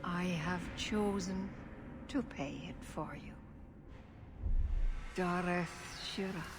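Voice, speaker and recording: Slow, solemn elder elf leader. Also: female voice, on the low side, music in the background. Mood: grave resolve. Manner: grave, measured. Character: older female elven clan leader, solemn, self-sacrificing. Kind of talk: video game cutscene acting. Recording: long hall reverb, faint noise.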